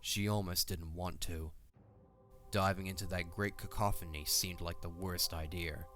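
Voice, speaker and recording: A man narrating a fantasy audio drama as a storyteller, reading a scripted tale in a measured, dramatic way. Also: low-pitched, fairly even intonation, a bit bright, soft background music.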